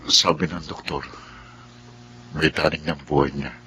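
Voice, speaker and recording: Imploring estranged husband, very deep voice, Filipino accent, radio drama, faint hum behind. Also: male voice, fairly even intonation, a little slow. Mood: somber. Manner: earnest, imploring. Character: estranged adult ex-husband, pleading on his mother's behalf.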